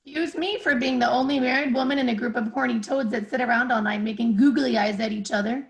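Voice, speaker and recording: A woman's voice: fairly high pitch, steady tone, fast, close to an everyday voice. A woman playing a prim, indignant married woman in a sitcom table read, scolding emphatically. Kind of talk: sitcom script read aloud.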